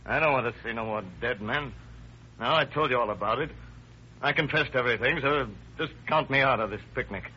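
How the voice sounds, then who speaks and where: An American actor playing a sullen, taunting confessed murderer in 1930s radio style, with slangy, defiant talk. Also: male voice, mid-range voice, brisk, sharp tone, lightly acted. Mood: agitated, defensive. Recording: dull, hissy old radio recording.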